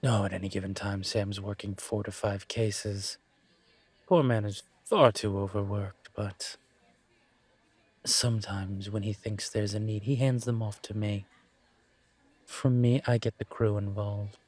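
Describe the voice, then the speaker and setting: Low, measured, courteous demon investigator played by a man. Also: little pitch movement, darkish tone, husky, no echo. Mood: earnest. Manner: measured, considerate, hesitant pauses. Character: calm, courteous male incubus demon professor and investigator. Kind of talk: scripted audio roleplay, intimate one-sided conversation.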